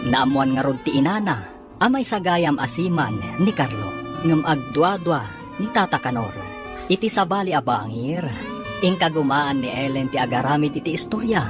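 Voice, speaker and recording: A woman with an Ilocano accent narrating a drama in a scripted, dramatic style, as an announcer. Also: deep voice. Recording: voice over background music. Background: music.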